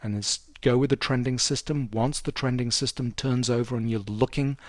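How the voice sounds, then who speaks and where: A man's voice: mid-range voice, steady tone, normal speed, no room sound, clean studio sound. A man giving a casual, emphatic market commentary as a trading instructor, talking through a screen share in an informal way.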